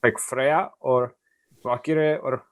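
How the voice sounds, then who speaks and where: Male guest, Czech accent, slow and hesitant conversational delivery, mid pitch. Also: fairly even intonation. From northern Czech Republic. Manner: hesitant, halting phrasing. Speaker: podcast guest, artist. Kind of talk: casual interview talk.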